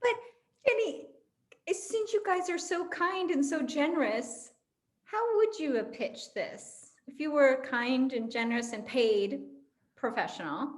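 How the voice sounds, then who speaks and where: A woman in her fifties hosts a panel in a fairly high voice. Her delivery is casual and conversational, as a moderator putting questions to guests. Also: lively intonation, unhurried. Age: 50s.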